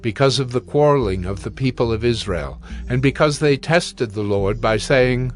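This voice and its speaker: A male narrator reads scripture from a script, solemn and measured. His voice sits at a middle pitch, with a music bed behind it.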